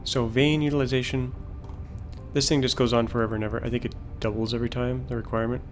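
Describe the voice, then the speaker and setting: A man narrating his own play session in a relaxed, musing way, his voice a bit worn from talking all day. His pitch sits in an ordinary middle range. Soft game music and keyboard clicks play faintly behind him. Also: fast talker. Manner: musing, thinking aloud while playing. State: losing his voice. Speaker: gameplay video host. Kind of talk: casual let's-play commentary.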